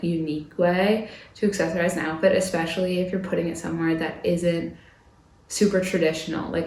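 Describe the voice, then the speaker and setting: A woman's voice: lowish voice, a little mellow. A young woman in her twenties, talking through fashion trend picks in a chatty vlog while recovering from a cold. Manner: chatty, lots of "like" fillers. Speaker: fashion vlogger. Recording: echoey room.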